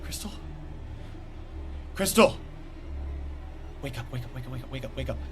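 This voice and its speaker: A man playing a panicked, grief-stricken young crash survivor in a dramatic table read, halting and repeating himself in desperation. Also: medium pitch, expressive, natural voice. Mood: dazed, pleading. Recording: noisy table-read room recording.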